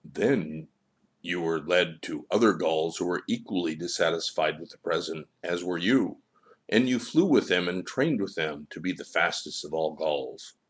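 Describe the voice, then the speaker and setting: A man's voice: sits low, expressive, moderate pace. A man voicing a stern, wise boy in a storybook, admonishing in solemn, declarative sentences. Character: stern, wise, all-knowing boy child, calmly admonishing. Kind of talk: storybook character dialogue.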